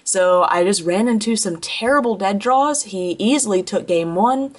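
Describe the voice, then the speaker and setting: A woman's voice: mid-range voice, moderate pace. A young woman with a head cold and a congested voice, chatting casually through a tournament recap, full of ums and self-corrections. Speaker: card game player recapping a tournament. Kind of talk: casual spoken tournament report.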